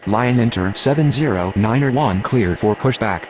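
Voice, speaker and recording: A man's voice: medium pitch, moderate pace, a little mellow, close to an everyday voice. A man playing an airline pilot or controller in a game, reciting scripted air traffic phraseology. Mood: procedural.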